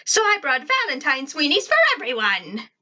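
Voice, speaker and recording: A woman voicing a perky, showy young schoolgirl with a high-pitched voice in an amateur story reading. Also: sing-song, normal speed, sharp tone, exaggerated voice.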